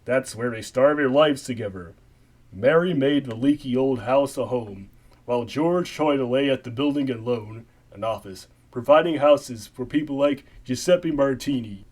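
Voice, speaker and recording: A man's voice: mid-range voice, normal speed, darkish tone, close to an everyday voice. A man playing a folksy, conversational narrator of a puppet holiday tale in a comic radio play. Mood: folksy storytelling.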